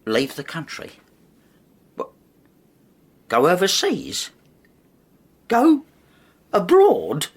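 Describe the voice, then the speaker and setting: A sheepish, blustering English official in a radio play. His husky voice moves in lively swings, awkwardly apologetic yet pompous. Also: high voice, drawn-out words, long pauses, exaggerated voice. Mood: incredulous. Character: embarrassed, sheepish, blustering male education official. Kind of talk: radio drama acting.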